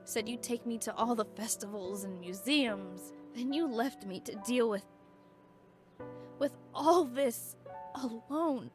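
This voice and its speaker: A woman's voice: sits high, a bit bright, full character voice. A woman voicing a stubborn young mage who feels left behind, speaking heartfelt and stammering when upset. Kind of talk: visual novel voice acting.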